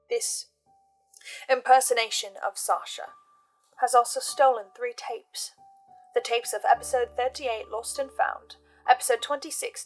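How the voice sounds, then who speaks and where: A woman hosting a recap show, laying out her notes and theories in a deliberate, measured way with dramatic pauses. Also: a little high. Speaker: host of a podcast listener's reaction and theory show.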